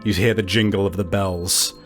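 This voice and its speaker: A man's voice: sits low, fairly even intonation, moderate pace, over music. A man narrating a tabletop roleplay as game master.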